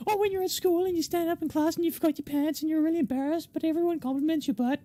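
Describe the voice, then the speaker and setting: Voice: very high voice, steady tone, very fast, warm tone, voice pushed up. A game player voicing a chirpy, morbidly whimsical young woman who rambles politely.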